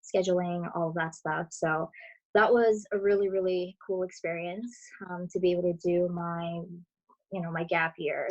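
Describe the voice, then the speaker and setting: A woman in her twenties answers interview questions over a video call. She is relaxed and chatty, full of fillers, and her voice sits a bit low. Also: lo-fi sound. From Brazil, raised in Florida. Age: 20s. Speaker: dental student guest.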